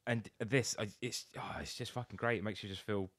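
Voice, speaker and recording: A man's voice: lowish voice, fairly even intonation, brisk, a bit bright, no room sound. A British man co-hosting a podcast, chiming in with casual, jokey banter. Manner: jokey interjections. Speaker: podcast co-host. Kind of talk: casual banter, reacting to a story.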